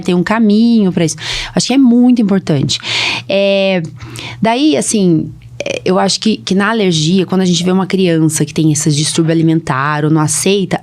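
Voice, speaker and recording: A woman's voice: mid-range voice, a little mellow. Brazilian woman hosting, full of tag questions. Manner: checks in often with tag questions. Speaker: podcast host, allergist doctor. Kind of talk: casual interview conversation.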